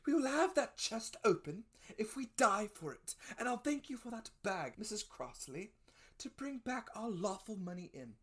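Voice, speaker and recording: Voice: mid-range voice, very animated, normal speed, slightly rough, voice pushed up. A storybook reader voicing a widowed innkeeper mother, defiant and scolding, with an urgent, emphatic manner. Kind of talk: dramatic storybook reading.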